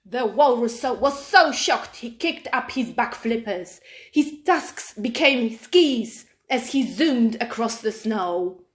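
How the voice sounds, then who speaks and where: Female storybook narrator: higher-pitched, very unhurried, dramatic and expressive for young listeners. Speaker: children's story reader.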